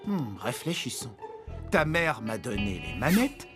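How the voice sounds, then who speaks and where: An actor playing a goofy, excitable, flustered father who dodges blame in a French animated cartoon dub. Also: medium pitch, measured pace, music in the background. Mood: musing.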